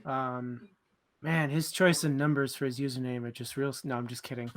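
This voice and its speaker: A man's voice: medium pitch, level tone, moderate pace. A man co-hosting a casual livestream book chat, talking conversationally and posing questions. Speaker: book discussion co-host.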